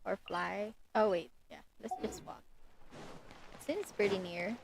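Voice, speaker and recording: A woman streaming a game live, chatting in a casual, playful way. Her pitch moves around a lot at a leisurely pace, over faint noise from the game. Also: lively intonation, slow pace, creaky. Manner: playful, reacting aloud. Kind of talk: casual gaming livestream chat.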